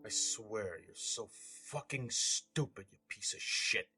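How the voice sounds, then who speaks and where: A man's voice: fairly low pitch, animated, slow, bright, forceful tone, soft background music, heavily acted. A man playing a short-tempered, foul-mouthed criminal father in an audio horror story, with a growling, contemptuous delivery. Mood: angry, contemptuous.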